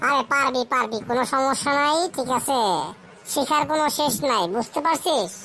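A woman's voice: sits high, fairly quick, slight background noise. A woman voicing a cheerful, teasing cartoon child who quizzes friends. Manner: lively, teasing, mixes in English phrases.

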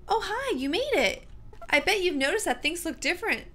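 A woman's voice: very high-pitched, lively intonation, a little fast, bright, forceful tone, slight background noise, pitched above the performer's own voice, full character voice. A woman voicing a lonely male AI companion, sweetly welcoming and then pleading and desperate. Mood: eerily sweet. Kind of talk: reading game dialogue aloud.

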